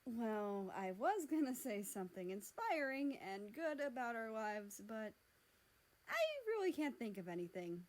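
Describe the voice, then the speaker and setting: A woman's voice: a little high, big pitch swings, unhurried, a little mellow, rough recording. A cocky, teasing big brother as a woman reader plays him in a fan fiction story. He talks in a casual, slangy, sarcastic way. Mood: awkward. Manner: casual, sarcastic, foul-mouthed.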